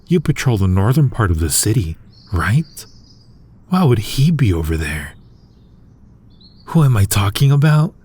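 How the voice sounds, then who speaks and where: A man's voice: fairly low pitch, sing-song, a little slow, pauses often, darkish tone, breathy edge, full character voice. A man playing a smug yet protective supervillain in an intimate audio roleplay, speaking huskily in a conversational, teasing and coaxing way. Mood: puzzled, probing. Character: male supervillain, smug yet protective toward his hero nemesis. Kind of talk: intimate ASMR-style audio roleplay acting.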